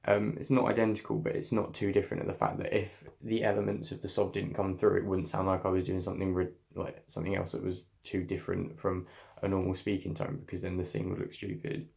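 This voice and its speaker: Young man with a deep gravelly voice. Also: monotone, fast talker, a little mellow. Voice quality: slightly deep voice. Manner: thoughtful, hesitant, many restarts and fillers. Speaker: acting student. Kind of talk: reflective vlog on voice work.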